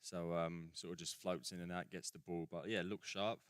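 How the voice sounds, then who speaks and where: Young bloke, english-aussie mix accent, really low smooth voice, easygoing and slangy, dry studio. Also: male voice, flat delivery, normal speed, dry recording. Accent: mixed English and Australian. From England. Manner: laid-back, slangy, trailing fillers. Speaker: football player guest. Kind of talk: casual radio chat. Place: radio studio.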